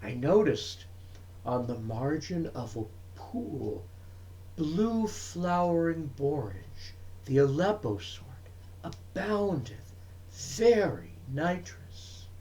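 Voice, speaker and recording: A man reading verse aloud dramatically, with measured, emphatic and theatrical phrasing. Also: fairly high pitch, sing-song, mellow, rough recording. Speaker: poetry reader. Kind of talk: expressive dramatic poetry reading.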